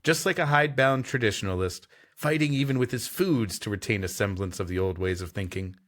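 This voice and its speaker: A man's voice: lowish voice, brisk, fairly bright tone. A man hosting a comedy story podcast, reading prose aloud and bantering with playful, theatrical delivery. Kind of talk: scripted story narration mixed with comic banter.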